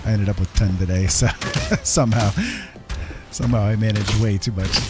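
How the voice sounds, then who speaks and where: A man's voice: on the low side, steady tone, moderate pace, loud music behind the voice. A middle-aged man casually commentating as he plays a game live, friendly and rambling, often talking to himself. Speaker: game streamer.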